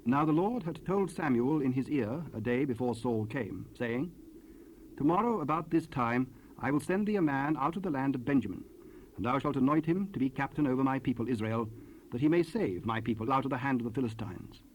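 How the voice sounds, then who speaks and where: A man narrating scripture from a script in a formal, measured way. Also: mid-range voice, animated, muffled recording. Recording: hissy 1970s cassette rip.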